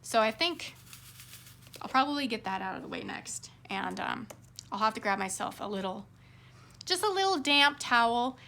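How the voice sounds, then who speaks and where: A woman hosting a craft vlog, rambling casually to her viewers, her voice a little hoarse. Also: fairly high pitch, lively intonation, normal speed, long pauses, sharp tone. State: slightly hoarse.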